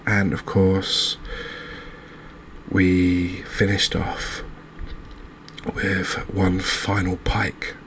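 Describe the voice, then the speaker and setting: Very flat, low-voiced man, soft ASMR narration. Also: drawn-out words, long pauses, slight background noise. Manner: soft-spoken, calming, hesitant. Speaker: host of a cozy gaming channel. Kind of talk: ASMR game recap narration.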